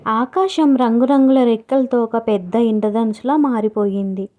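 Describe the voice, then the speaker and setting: A woman's voice: fairly high pitch, phone-quality sound. A woman narrator reading a scripted story for children.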